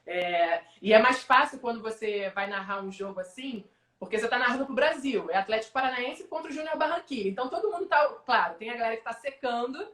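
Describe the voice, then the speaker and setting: A young adult Brazilian woman, a sports announcer, telling animated stories in a casual live-stream interview. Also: a little high, roomy sound. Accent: Brazilian Portuguese. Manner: animated storyteller, quick asides. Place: home, online video call.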